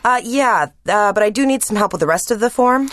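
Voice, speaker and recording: A woman's voice: medium pitch, expressive, fairly quick, fairly bright tone, dry recording, close to an everyday voice. A woman playing a polite, hesitant young student applying for volunteer work in a scripted exam listening dialogue.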